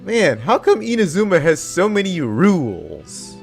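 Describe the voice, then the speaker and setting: A man's voice: high-pitched, wildly swooping pitch, a little slow, gravelly. A man giving casual gameplay commentary, reacting to the story with exclamations. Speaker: gamer playing through story quest. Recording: loud game music behind. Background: game music.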